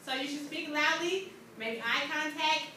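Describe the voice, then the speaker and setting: A woman's voice: sits high, unhurried, recorded from a distance. A woman teacher giving classroom instruction, asking lots of questions and checking that her class understands. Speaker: English teacher of a grade 7 class. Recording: echoey classroom from afar, faint noise.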